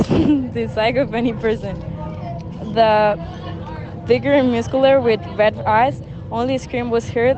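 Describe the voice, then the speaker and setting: Female story narrator, slightly high voice, halting scripted read, clear background noise. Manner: halting, stumbling over words. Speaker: student narrator of a class radio drama. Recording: noisy recording with background rumble.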